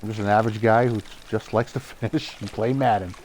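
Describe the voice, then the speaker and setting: Casual young male streamer, Boston accent, lowish pitch, chatty and excitable, faint background hiss. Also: brisk. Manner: chatty, excitable at good catches, laughs often. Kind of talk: casual live gameplay commentary.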